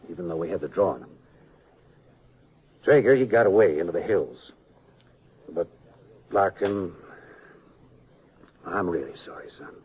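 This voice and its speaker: An actor playing an older small-town American sheriff, folksy and halting, in a 1950s Western radio drama. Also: male voice, low voice, husky, full character voice. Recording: muffled, noisy 1950s radio recording.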